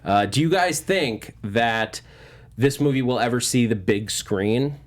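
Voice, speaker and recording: A man's voice: medium pitch, animated, measured pace. Man hosting a casual movie news panel. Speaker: panel show host.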